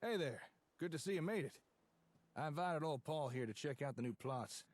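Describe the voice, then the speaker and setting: An actor playing an eager, friendly inventor in a video game, upbeat and conversational, with a husky voice. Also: male voice, a little high, lively intonation, normal speed. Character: eager, friendly male inventor showing off settlement tech.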